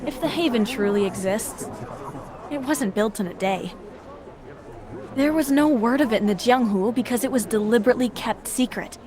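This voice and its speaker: An actress playing a guarded, earnest young wandering heroine in a video game. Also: female voice, a little high, normal speed, close to an everyday voice. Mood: serious, reasoning. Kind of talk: dramatic video game dialogue. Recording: music and crowd noise behind. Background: music, crowd.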